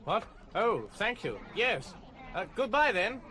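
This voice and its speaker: A bewildered, polite everyman, stammers through a radio comedy with very swooping pitch. Clear scene noise surrounds him. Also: very animated, takes it slowly, heavily acted. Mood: bewildered, flustered. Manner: flustered, stammering. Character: bewildered, polite, hapless ordinary man among aliens. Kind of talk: comic radio drama acting. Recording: noisy radio drama scene ambience.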